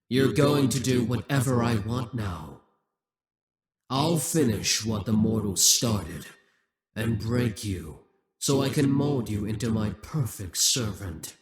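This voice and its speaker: Demon king, male performer: proud conqueror, coaxing yet menacing, slow deliberate delivery, ASMR roleplay monologue. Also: mid-range voice, heavy reverb, distant mic.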